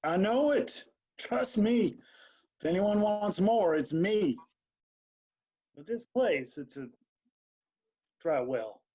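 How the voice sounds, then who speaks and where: Man playing a slow-talking sleazy older manager. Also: sits high, lively intonation, warm tone, heavily acted. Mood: pleading, evasive. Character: sleazy, leering band manager in his mid-sixties. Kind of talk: screenplay table read. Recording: remote virtual table read.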